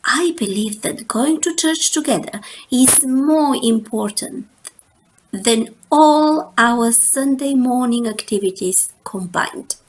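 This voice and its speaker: A woman voicing a motherly female bear in a storybook, firm but kindly, with a patient, deliberate manner. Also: a little high, animated, a little hiss or hum, poor audio.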